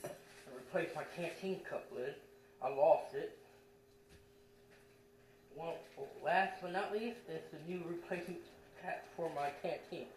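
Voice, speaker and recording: A man's voice: a little high, a little slow, long pauses, darkish tone. A man giving a casual, off-the-cuff vlog update on gear, talking indoors a few feet from the camera. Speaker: vlogger showing camping gear. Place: indoors in a bedroom. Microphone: camera a few feet away. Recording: roomy, distant mic, faint background noise.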